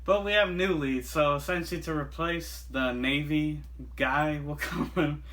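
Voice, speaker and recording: A man's voice: fairly high pitch, a little slow, a bit bright. A man reviewing a monster movie in a casual, rambling way, full of fillers and restarts. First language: not Japanese. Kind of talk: casual chat.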